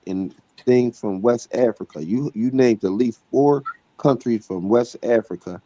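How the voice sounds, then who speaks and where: A man's voice: mid-range voice, measured pace, warm tone, dry recording, poor audio. Emphatic man making his point. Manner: emphatic, repeats "right?" and "you know what I'm saying". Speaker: debate panelist. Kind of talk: heated debate talk.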